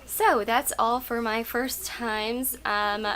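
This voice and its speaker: A woman's voice: on the high side, steady tone, takes it slowly, fairly bright tone, slight background noise. A young adult woman vlogging casually from home. She is a native Russian speaker who rambles through her answers with plenty of fillers. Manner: rambling, chatty, lots of "like" and "um". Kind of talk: casual chat answering tag questions. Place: at home at night.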